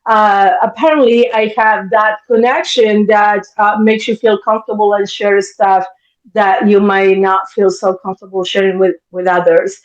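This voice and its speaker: A woman's voice: medium pitch, fairly even intonation, normal speed, reverberant, dull audio. A woman in her fifties from Argentina, a therapist guest talking bluntly and directly, swearing freely. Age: 50s. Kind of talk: candid interview talk.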